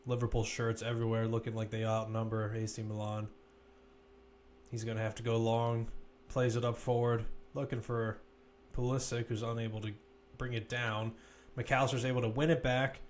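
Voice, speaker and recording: A man giving live play-by-play football commentary as a commentator, measured but lifting when attacks build. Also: mid-range voice, a little hiss or hum.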